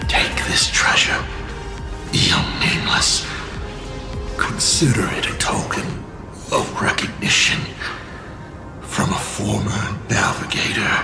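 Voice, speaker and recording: A very slow, low-pitched villain with a gravelly creak. He is an ancient, proud schemer from a video game, grandiose and deliberate in every phrase, with music behind him. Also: full character voice. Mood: proud, resigned. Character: defeated male villain, ancient patient schemer, proud and theatrical. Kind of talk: dramatic video game voice acting.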